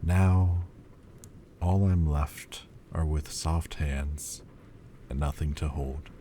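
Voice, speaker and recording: A man playing a very deep, brooding demon lord. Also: steady tone, slight background noise. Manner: slow, quiet, halting confession. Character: brooding ancient male demon lord, wistful. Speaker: voice actor. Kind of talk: audio roleplay monologue.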